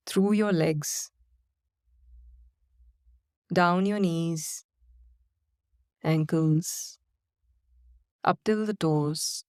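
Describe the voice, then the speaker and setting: A woman guiding a body-scan and breathing meditation in slow, calm, soothing instructions with long pauses. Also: on the low side, no echo, clean studio sound. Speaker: meditation guide. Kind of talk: guided meditation.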